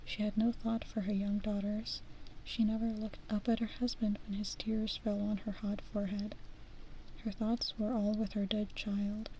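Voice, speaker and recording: A woman from the Midwest, an ASMR streamer, reading aloud in a soft whisper and making self-deprecating asides. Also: mid-range voice, flat delivery, moderate pace, slight background noise, low-quality recording. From American Midwest.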